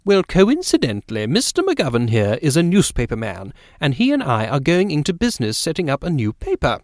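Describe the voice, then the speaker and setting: An eager, inquisitive society lady whose voice rises high and swoops all over, as a man puts her on. She talks on and on with bright curiosity, in a dry, close storybook reading. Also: huge pitch swings, a little fast, no room sound. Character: chatty curious well-to-do older woman.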